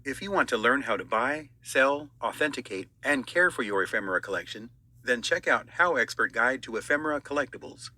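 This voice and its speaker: Sharp, very bright male narrator. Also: mid-range voice, expressive, a little fast. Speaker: audiobook narrator auditioning. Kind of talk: scripted promotional narration.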